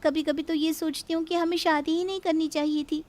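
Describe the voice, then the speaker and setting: A woman's voice: very high voice, flat delivery, a little fast, a little mellow, voice pushed up. A woman voicing a meek, worried young daughter-in-law in a cartoon story, speaking with timid, respectful pleading. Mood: sad, doubtful. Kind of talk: Hindi cartoon story acting.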